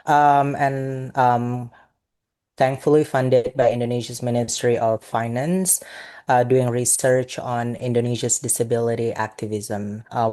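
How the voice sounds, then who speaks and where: A man's voice: medium pitch, dark tone. Hesitant young man, filler-heavy answers. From Saudi Arabia. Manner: hesitant, many ums and uhs. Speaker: podcast guest, PhD researcher. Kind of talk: conversational interview answers.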